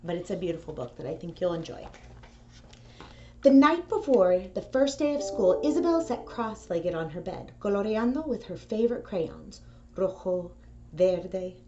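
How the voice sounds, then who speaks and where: A woman presenting for a school, speaking in clear phrases to families and children as she gives announcements and reads aloud. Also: mid-range voice, animated, moderate pace, dark tone. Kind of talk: video announcements and picture-book read-aloud. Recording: dull audio with faint noise.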